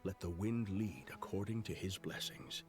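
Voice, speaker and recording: An actor as a solemn older cardinal with a lowish voice. He speaks with grave, formal deliberation, game style, over prominent music. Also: normal speed, close to an everyday voice. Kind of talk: video game voice acting.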